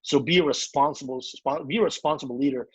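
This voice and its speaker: A man giving an energetic, repetitive motivational training talk as a network marketing trainer. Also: medium pitch, fast, husky, no room sound, dull audio. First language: English.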